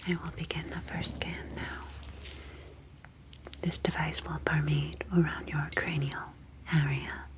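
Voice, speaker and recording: Woman acting as humanoid alien researcher, hushed whisper, very slow, formal and calm, deliberate phrasing, ASMR sci-fi roleplay. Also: sits low. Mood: clinical, polite. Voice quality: soft, breathy unvoiced whisper.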